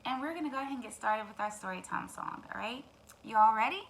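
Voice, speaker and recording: A woman's voice: on the high side, fast talker. A woman teacher hosting storytime, reading aloud expressively to young children and adding asides to them. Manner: expressive, addresses young listeners directly. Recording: clear background noise.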